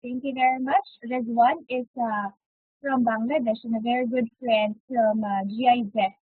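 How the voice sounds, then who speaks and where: A Filipino woman hosts a webinar in a polite, formal way, with fillers between her announcements. Her voice is high and breathy. It comes through a tinny, dry call line. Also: steady tone, normal speed, dry recording. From Philippines. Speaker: webinar host. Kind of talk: hosting an online webinar. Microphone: computer mic on a video call.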